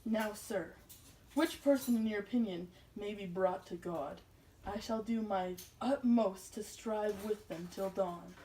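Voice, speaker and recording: A woman's voice: medium pitch, measured pace, warm tone, a little hiss or hum, lightly acted. A woman acting a stern, unbending male colonial judge in an amateur puppet play. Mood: cold, firm. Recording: distant from the mic.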